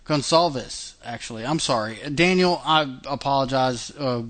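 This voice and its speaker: A man's voice: mid-range voice, measured pace, a bit bright. A man hosting a podcast in casual chat, chatty with many fillers and restarts, laughing often. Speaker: podcast host.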